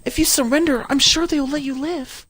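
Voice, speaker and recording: A man doing a desperate young woman soldier in falsetto. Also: fairly even intonation, a little fast, a bit bright, dry recording. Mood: pleading. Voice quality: high strained falsetto. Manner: pleading, guilt-ridden. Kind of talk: voicing game dialogue on a stream.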